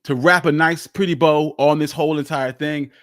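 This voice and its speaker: A man's voice: fairly high pitch, steady tone, normal speed, fairly bright tone, no echo, clean studio sound. Emphatic, conversational man hosting a livestream. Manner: conversational, emphatic, repeats phrases for weight. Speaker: host of a history live stream. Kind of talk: casual live talk answering audience questions.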